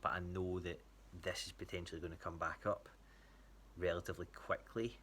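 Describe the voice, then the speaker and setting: Low-pitched Scottish man, casual update. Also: steady tone, normal speed, a bit bright, a little hiss or hum. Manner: hesitant, rambling. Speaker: athlete giving a training check-in to a coach.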